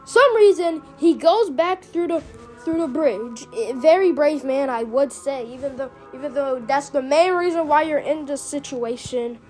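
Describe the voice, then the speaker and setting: A woman's voice: high-pitched, normal speed, a little mellow, soft background music, poor audio. A woman giving a casual, rambling recap as host of a reaction video, in informal, slangy language.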